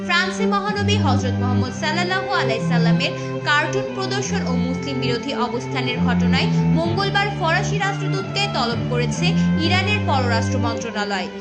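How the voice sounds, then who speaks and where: A woman narrating a scripted news report. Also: high-pitched. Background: loud music.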